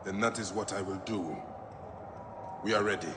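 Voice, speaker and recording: An actor playing a grim, determined Egyptian warrior and gladiator in a video game, speaking with earnest intensity. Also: male voice, low voice, steady tone, normal speed, background noise, close to an everyday voice. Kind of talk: dramatic video game voice acting.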